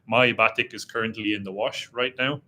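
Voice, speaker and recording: A young man with a slight Irish accent hosts a relaxed live chat from a dim room, his voice bright and forceful. He rambles easily and slows down as he reads comments aloud. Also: fairly low pitch. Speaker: travel vlogger hosting a live Q&A. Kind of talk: casual live-stream chat reading viewer comments.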